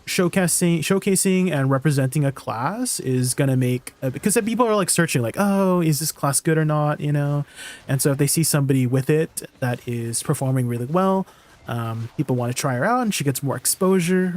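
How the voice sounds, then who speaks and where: A man's voice: on the high side, normal speed, a little mellow. A man live-streaming, chatting casually with viewers and thinking aloud with lots of fillers and restarts.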